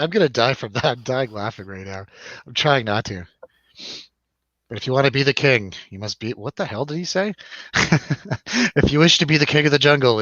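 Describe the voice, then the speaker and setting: A man's voice: mid-range voice, bright, forceful tone, no room sound, highs cut off. A man hosting a casual live movie review show with jokey, showy announcer-style introductions.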